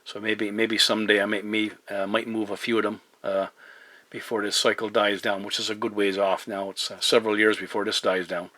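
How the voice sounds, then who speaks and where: A middle-aged man chatting as a radio operator, courteous and measured, with hesitant phrasing and operator jargon. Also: lowish voice, level tone, pushed and bright, a little hiss or hum. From Newfoundland, Canada. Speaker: amateur CB radio operator hosting a video contact.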